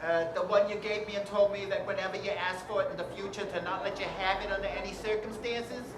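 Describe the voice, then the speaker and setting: An actress playing a cheerful, guileless store greeter in a 1940s radio drama, folksy, chatty and hesitating. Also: female voice, mid-range voice, fairly even intonation, fast, crowd noise, natural voice. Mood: sheepish. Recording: echoey theater with clear background noise.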